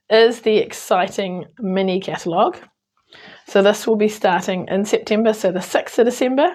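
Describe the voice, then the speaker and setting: A woman with a New Zealand accent, hoarse today, chatting through a card-making tutorial with frequent ums and small self-corrections. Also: mid-range voice. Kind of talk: casual crafting tutorial.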